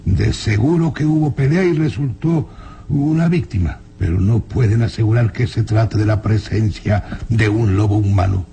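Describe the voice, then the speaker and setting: A man's voice: fairly low pitch, normal speed, gravelly, mellow. An actor playing a haughty, hot-tempered baron and stern father in a radio drama, speaking in an imperious, commanding way. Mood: stern, scornful. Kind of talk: old radio drama acting. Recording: noisy old radio serial recording.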